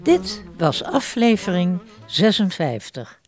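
A woman's voice: mid-range voice, very animated, takes it slowly, over music. A woman announcer reading a scripted announcement for a radio drama.

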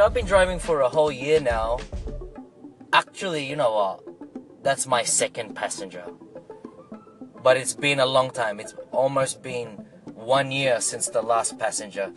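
A young man with a Filipino English accent telling stories in a rambling, chatty way while driving his car. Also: mid-range voice. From Australia. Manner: rambling, chatty, lots of "you know". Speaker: rideshare driver vlogger. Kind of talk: casual storytelling vlog. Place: in a car, stuck in traffic.

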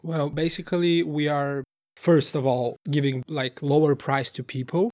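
A man's voice: on the high side, flat delivery, normal speed. A man, a startup co-founder answering interview questions earnestly, often hunting for words. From Bulgaria. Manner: earnest, searching for words, many restarts.

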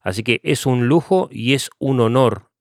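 A man's voice: medium pitch, moderate pace, dry recording, studio quality. A man with an Argentine Spanish accent hosting a program, thanking his guest in a friendly way. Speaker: host. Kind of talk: interview sign-off.

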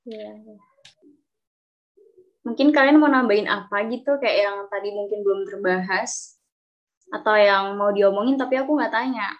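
A woman's voice: medium pitch, normal speed, pauses often, lo-fi sound. A young adult woman hosting a casual group chat, speaking expressively and often searching for words. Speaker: discussion host.

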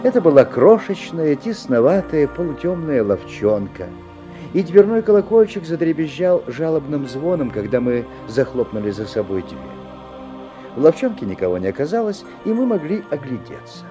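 An actor playing a genial father who narrates a story in a 1970s radio play, theatrical, with deliberate pauses. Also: on the high side, big pitch swings. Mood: storytelling, amused. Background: faint music.

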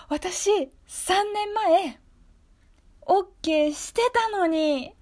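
A woman's voice: very high-pitched, voice pushed up, exaggerated voice. A woman playing a wistful, tender teenage girl shyly confessing first love, hesitantly, in an improvised radio drama. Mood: wistful reproach.

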